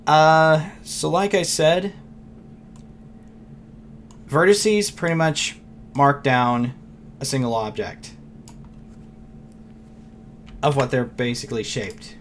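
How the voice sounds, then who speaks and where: Hoarse man rambling through a tutorial. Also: on the high side, fairly even intonation, takes it slowly, long pauses, slight background noise. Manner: rambling, loose, thinks aloud with fillers. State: losing his voice, clearing throat. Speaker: software tutorial teacher. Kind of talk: casual screen-recorded tutorial.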